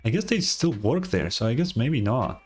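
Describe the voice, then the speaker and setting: A man's voice: mid-range voice, sing-song, moderate pace, slightly rough, faint music behind. A young man doing casual, offhand game commentary with some swearing, also reading game text aloud. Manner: offhand, profane. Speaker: game streamer.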